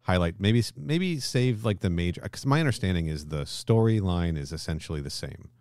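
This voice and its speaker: Very deep-voiced man chatting on a podcast. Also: animated, a little fast, darkish tone. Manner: casual swearing, frequent check-in questions. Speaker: podcast co-host. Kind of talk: casual film discussion podcast.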